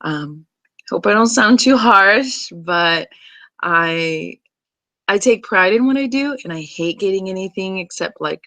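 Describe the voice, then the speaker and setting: A woman's voice: mid-range voice, animated, measured pace, pushed and bright, no echo. A woman in her thirties, originally from Brazil, chatting casually as she introduces herself to a class, with many ums and restarts. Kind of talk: casual self-introduction video.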